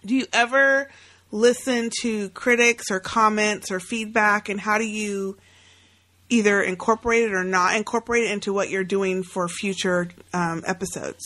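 A woman's voice: medium pitch, steady tone, normal speed, fairly bright tone. A woman co-hosting a podcast interview in casual chat, blunt and informal, with salty language.

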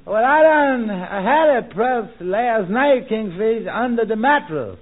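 A man's voice: sits high, animated, slow, full character voice. An actor playing a meek, gullible male hanger-on with a hesitant, deferential drawl, in 1940s radio comedy style. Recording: narrow, tinny vintage radio recording.

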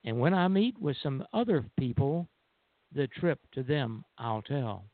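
A man co-hosting a radio show, speaking in a measured, deliberate way over a band-limited phone line. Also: medium pitch, very animated, no room sound. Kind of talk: poem recitation, then casual show talk.

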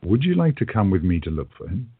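Guy acting polite earnest shopkeeper for a radio play, lowish husky voice, muffled dry audio. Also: very animated, fast talker, no room sound, heavily acted. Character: courteous, earnest gentleman shopkeeper and time traveler. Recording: dull lo-fi audio.

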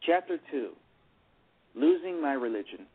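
Husky man reading a story aloud. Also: on the high side, expressive, a little slow. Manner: stumbles and repeats words while reading. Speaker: narrator. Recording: tinny phone line with faint music.

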